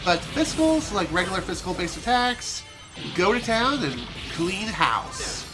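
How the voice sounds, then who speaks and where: A man's voice: high-pitched, sing-song, a little slow. Chatty gamer man over game music. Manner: chatty, rambling, self-amused. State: slightly hoarse from allergies. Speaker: gaming streamer. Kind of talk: casual let's play commentary.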